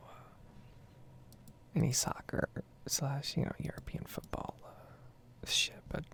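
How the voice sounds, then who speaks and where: A woman's voice: slow, fairly bright tone. A young American woman in her twenties giving a rambling, casually sweary ASMR gameplay commentary close to the microphone. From United States. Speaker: gaming video creator.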